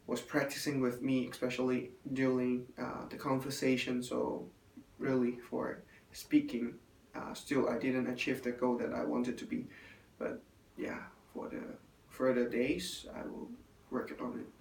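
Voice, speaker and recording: A man's voice: medium pitch, flat delivery, gravelly. Young guy with chinese as first language, stop-start talk, sounds far off in an echoey room. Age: young adult. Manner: halting, many fillers and restarts. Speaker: hotel intern. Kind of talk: spoken self-evaluation. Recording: roomy, distant, faint room noise.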